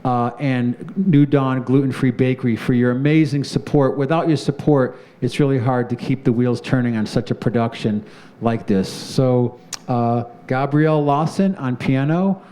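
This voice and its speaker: A middle-aged man hosts a live studio talk show, his voice mellow and dark. He talks in a relaxed, rambling way, with plenty of "uh" and "um", and he sounds proud of his guest. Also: medium pitch, moderate pace. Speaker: show host. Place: community TV studio.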